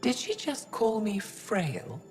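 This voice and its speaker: Casting: elderly alien matriarch for a sci-fi game, unhurried, solemn, defiant, music underneath. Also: female voice, mid-range voice, very animated. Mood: weary, subdued. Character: aged alien holy woman leader, weary but defiant. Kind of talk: video game cutscene acting. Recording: loud background music.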